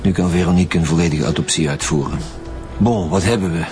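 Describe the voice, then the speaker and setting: Actor doing flemish police boss, deep voice, short probing questions, radio crime drama w music. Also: male voice, quick pace, lightly acted. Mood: matter-of-fact. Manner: curt, probing, matter-of-fact. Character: businesslike middle-aged lead homicide detective. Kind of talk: naturalistic radio drama acting.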